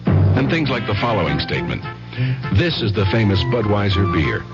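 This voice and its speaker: A man's voice: lowish voice, big pitch swings, normal speed. A man announcing a scripted 1970s radio commercial. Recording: dull old radio broadcast, loud music.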